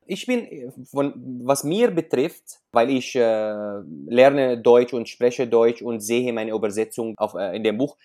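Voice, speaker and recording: A man whose first language is Arabic, speaking with an Arabic accent at a medium pitch. He is a guest in a conversational interview and explains things by example. He often hesitates as he searches for the next word. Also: measured pace, hardly any pauses, dark tone. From Syria. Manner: hesitant, searching for words in non-native German, explains with examples.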